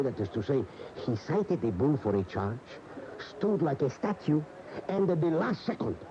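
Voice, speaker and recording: An actor in a radio drama playing an emotional bullfight devotee, recalling his idol reverently with dramatic, halting phrases. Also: fairly high pitch. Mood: reverent, awed. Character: admiring bullfight aficionado reminiscing, emotional and reverent. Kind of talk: 1980 radio drama monologue, interview-like recollection. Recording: dull, noisy 1980 AM radio recording.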